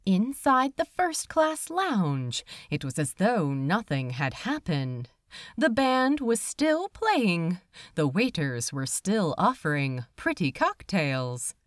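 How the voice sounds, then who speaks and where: A woman reading a story aloud as an audiobook narrator, with an expressive, dramatic storytelling manner. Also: on the low side, takes it slowly, dry recording, studio quality. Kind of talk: scripted fiction narration.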